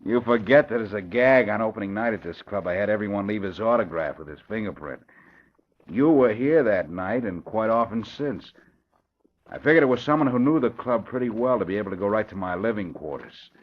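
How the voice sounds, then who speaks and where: A man's voice: on the low side, natural voice. Smug male racketeer for vintage radio crime play, drawling and scheming, acted by a man, muffled archive sound. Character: smug scheming male nightclub owner, a blackmailing killer. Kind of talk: 1940s radio acting. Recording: dull old radio recording.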